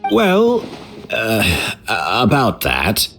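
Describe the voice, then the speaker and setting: A man playing a gentle, apologetic, hospitable hotel keeper in a video game, polite and a little hesitant. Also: lowish voice, wildly swooping pitch, extremely slow, full character voice. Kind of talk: animated video game voice acting. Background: instrumental music.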